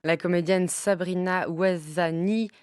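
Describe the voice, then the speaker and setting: A woman hosts a radio talk, framing questions in an articulate, lively way with a few stumbles. Her voice sits a little low, recorded close and dry in a studio. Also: a little slow, clear, resonant tone, dry recording. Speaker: radio host. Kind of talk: radio interview hosting.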